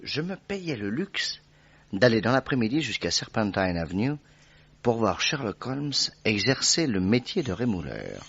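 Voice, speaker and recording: An actor playing a genial, admiring gentleman friend and narrator, telling the story in a French radio drama. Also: on the low side, huge pitch swings, moderate pace, gravelly, husky, highs cut off, heavily acted. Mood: measured narration.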